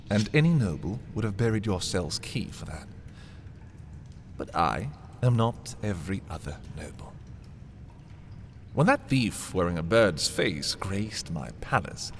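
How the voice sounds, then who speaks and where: A haughty prince from a dark fantasy ASMR roleplay, acted by a man, speaks low and very slowly to his captive. He is theatrical and condescending, menacing in his deliberate pauses. Noticeable background noise sits behind the voice. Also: exaggerated voice. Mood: irritable, imperious.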